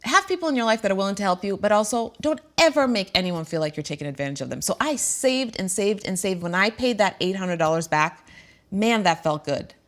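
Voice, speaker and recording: A middle-aged woman talks candidly to her viewers as a show host. Her voice has an ordinary, mid-height pitch. She sounds earnest and emotional, with plenty of fillers and restarts. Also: animated, brisk. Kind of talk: candid personal talk to viewers.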